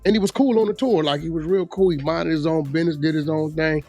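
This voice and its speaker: A man's voice: a little high, fast talker, a little mellow. A man chatting casually and bluntly, with emphasis and a lot of slang. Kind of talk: casual opinionated chat about street gossip. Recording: music playing under the voice. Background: music.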